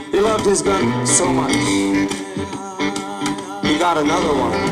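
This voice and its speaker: A middle-aged man, a poet, performing spoken-word verse on an outdoor stage into a handheld mic, slow and deliberate. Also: on the high side, rough recording. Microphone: handheld mic held close. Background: live band with electric guitar.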